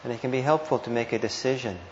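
A man teaching meditation, giving guided instructions with gentle, hesitant phrasing and pauses. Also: medium pitch. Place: indoor meditation hall. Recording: muffled, roomy live talk with noise.

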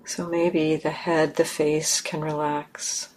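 A woman leading a guided meditation in measured, instructive phrases with long pauses. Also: deep voice, flat delivery, a little mellow, poor audio. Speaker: meditation teacher.

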